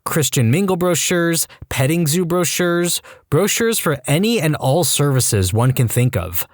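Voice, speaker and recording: A male narrator reads posts in a scripted, wry storytime style. His pitch swings in a lively way from line to line. The sound is close and dry, with no room around it. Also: measured pace, no room sound. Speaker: narrator reading online posts.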